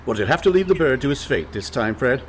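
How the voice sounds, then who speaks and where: Man playing a wildly animated, glib con man. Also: medium pitch, fast talker, slight background noise, natural voice. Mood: breezy, teasing. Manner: glib, theatrical, cajoling. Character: breezy, smooth-talking older brother, con man. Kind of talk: comic radio play acting.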